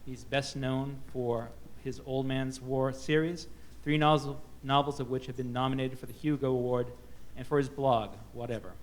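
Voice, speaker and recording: A man's voice: mid-range voice, steady tone. A man moderating a book festival panel, reading out author introductions with frequent fillers and restarts. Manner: halting, many fillers and restarts.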